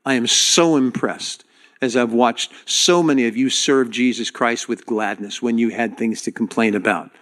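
A man preaching to his congregation as their pastor, speaking earnestly and directly with halting restarts. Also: mid-range voice, steady tone, a bit bright. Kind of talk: sermon.

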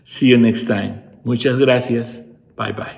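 A man's voice: mid-range voice, fairly even intonation, unhurried, gravelly. A man, giving an earnest devotional sermon as a pastor and rising to fervent emphatic peaks. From Peru. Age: 60s. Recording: echoey room.

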